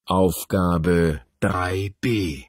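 Very slow, deliberate male announcer. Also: low-pitched, steady tone, no echo, clean studio sound. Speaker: course audio announcer. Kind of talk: textbook audio announcing and reading.

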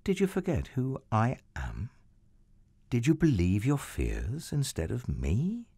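Gentle storybook leader read by a man, quietly authoritative, soft, measured lines. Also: mid-range voice, wildly swooping pitch, vocal fry, husky, dry recording, heavily acted. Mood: calm, gentle.

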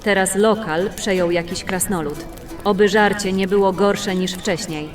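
A woman plays a practical fantasy adventurer in an audio drama. She speaks plainly and with composure in a mid-range voice. She is a bit off the mic, with music clearly behind her. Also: mid-range voice, fairly even intonation, measured pace, far from the mic, lightly acted. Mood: matter-of-fact. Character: practical, level-headed female fantasy adventurer.

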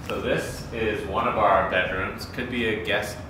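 A man's voice: lowish voice, steady tone, measured pace. A young man casually co-presenting a tour of his house. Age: young adult. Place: bare framed house interior, indoors. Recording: very echoey empty room, distant, clear noise.

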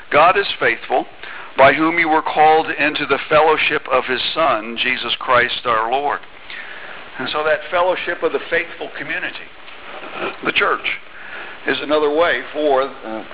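A man's voice: mid-range voice, dull audio, a little hiss or hum. A middle-aged man preaching a sermon in a church on a headset mic, talking conversationally with small restarts and reading scripture aloud. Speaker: pastor. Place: church sanctuary.

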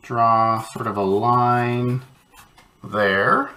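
Bright-voiced man teaching a craft. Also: mid-range voice, drawn-out words, a little hiss or hum. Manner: relaxed, encouraging, thinks aloud with fillers. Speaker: art educator. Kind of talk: casual craft tutorial for kids. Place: home studio, at a table.

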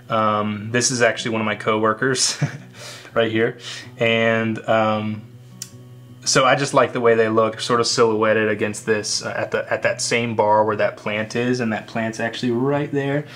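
Relaxed young man, rambling with fillers. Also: on the low side, moderate pace. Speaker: photographer. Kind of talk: casual screen walkthrough. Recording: faint guitar music underneath. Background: faint guitar music.

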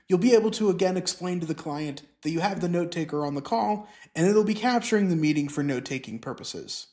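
A middle-aged man presenting scripted instructional narration, explaining clearly step by step. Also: sits high, darkish tone. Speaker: software tutorial presenter.

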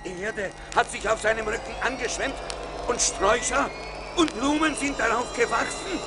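A man's voice: extremely high pitch, normal speed, loud music behind the voice. An actor playing a ship's captain urgently calling out a warning to his crew in a radio play. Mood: alarmed, urgent. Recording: noisy old vinyl radio play recording.

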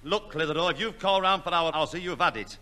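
A man playing a gruff, exasperated, blustering neighbour and father in a 1960s radio sitcom. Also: fairly high pitch, fast talker, close to an everyday voice. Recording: old radio recording with faint noise.

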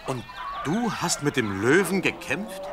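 An actor playing a brusque young farmer's son in a Bible audio drama, with farmyard fowl behind. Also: male voice, on the high side, sing-song, measured pace, noisy background. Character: David's older brother, a brusque young farmhand.

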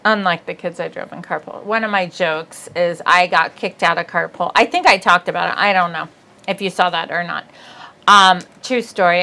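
A bright, forceful woman's voice with a Midwestern accent, chatting and joking in a small studio. She is in her forties and from Ohio, and she tells her stories in a loose, laughing way. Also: fairly low pitch. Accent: Midwestern American. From Ohio, USA. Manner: chatty, laughing, bawdy storyteller. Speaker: comedian host. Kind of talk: casual comedic chat. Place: small studio set, seated indoors.

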